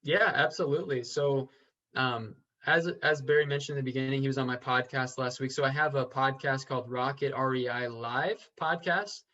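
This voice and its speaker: A man speaking as a podcast guest in an earnest, halting way, often stopping to restart his sentences. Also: medium pitch, sharp tone. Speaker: podcast guest, real estate investor. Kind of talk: casual interview chat. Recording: slightly dull lo-fi sound.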